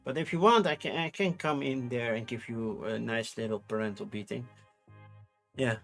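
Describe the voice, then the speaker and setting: A man's voice: mid-range voice, normal speed, gravelly, fairly bright tone, soft background music. A man with a Dutch accent who speaks English as a second language, chatting casually on a livestream, rambling with fillers and restarts. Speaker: streamer.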